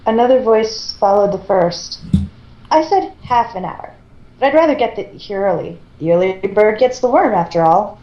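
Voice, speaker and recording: A woman's voice: mid-range voice, expressive, moderate pace, highs cut off. A woman reading fan fiction aloud casually, correcting herself as she goes. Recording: echoey room.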